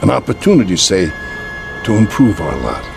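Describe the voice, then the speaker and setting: An actor playing a gentle, patient old street peddler in a radio drama, mild, polite and knowing in his manner. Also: fairly low pitch, sing-song, normal speed, vocal fry, over music. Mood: calm, knowing. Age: older.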